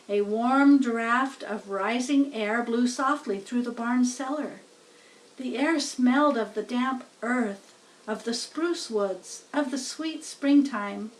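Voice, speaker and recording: A woman reading a children's book aloud as a storyteller, in deliberate scripted narration. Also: fairly high pitch, far from the mic, low-quality recording. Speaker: storybook reader.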